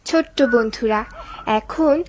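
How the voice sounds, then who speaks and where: A woman's voice: a little high, takes it slowly, highs cut off. A woman narrating a scripted cartoon story as a storyteller for young children. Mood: storytelling. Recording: clear background noise behind narration.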